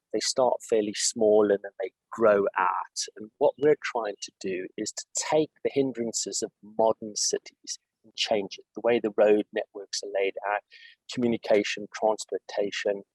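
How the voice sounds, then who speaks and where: Middle-aged South African executive, lowish voice, dry close recording, unhurried guest with lots of fillers. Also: male voice, darkish tone, breathy edge, no echo, poor audio. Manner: measured, with frequent fillers and restarts. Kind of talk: interview answers.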